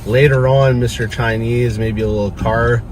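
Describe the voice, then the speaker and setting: Male vlogger, mid-pitched, casual rambling slang with laid-back hype, recorded in a truck cab under heavy vehicle noise. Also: fairly even intonation, normal speed. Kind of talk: casual vlog chat to camera. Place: inside a truck being driven. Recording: loud vehicle and air-conditioning noise. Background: truck engine and road noise.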